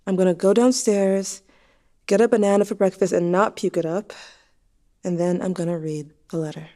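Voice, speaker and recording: A young woman plays a grieving teenager with a slightly low, mellow voice. The delivery is intimate and confessional, with a wry, anxious edge, like diary entries narrated in an audio drama. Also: fairly even intonation, moderate pace, close to an everyday voice. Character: grieving, anxious teenager writing to a dead sibling.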